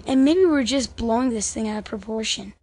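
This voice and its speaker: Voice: mid-range voice, brisk, a little mellow, slight background noise, natural voice. A young performer playing an eager, earnest ten-year-old boy in an amateur audio drama. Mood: puzzled.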